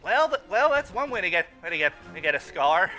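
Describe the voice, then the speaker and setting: Male commentary host: high, bright voice, chatty, halting delivery with repeated words. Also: sing-song, faint music behind, low-quality recording. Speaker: movie riffing host. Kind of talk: casual live commentary.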